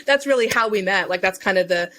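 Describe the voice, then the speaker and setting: A woman's voice: mid-range voice, lively intonation, fast, sharp tone. Female podcast guest, mid-range voice, informal and chatty, casual storyteller who interjects as she goes. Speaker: self-employed digital marketer and online bookseller.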